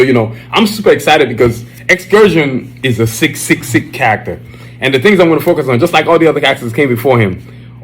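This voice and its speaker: A man with a Nigerian accent hosting his own podcast, talking loosely and excitedly with lots of slang fillers. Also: medium pitch. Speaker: podcast host. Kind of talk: casual solo podcast chat. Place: Dallas, Texas area.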